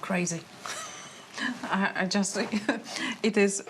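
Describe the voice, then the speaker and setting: A woman from Poland, Polish her first language, answering interview questions in halting English with a Polish accent. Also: mid-range voice, noisy background. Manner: hesitant, halting English with fillers. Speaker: interviewee, parent.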